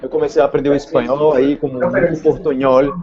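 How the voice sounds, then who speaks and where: A man's voice: medium pitch, little pitch movement, fairly quick. Brazilian man with a Northeastern accent hosting. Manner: chatty, encouraging, strings thoughts together. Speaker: host and language teacher. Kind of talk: casual interview chat. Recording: dull lo-fi sound with crowd noise behind.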